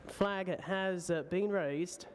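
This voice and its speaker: A man giving live race commentary as a racecourse announcer, pausing often between short phrases. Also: high voice.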